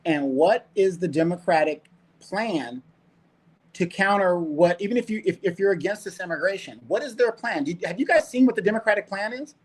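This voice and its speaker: A man's voice: sits high, expressive, brisk. A man with an American accent giving casual political commentary as a co-host, emphatic and argumentative, speaking straight to the listeners. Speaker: talk show co-host.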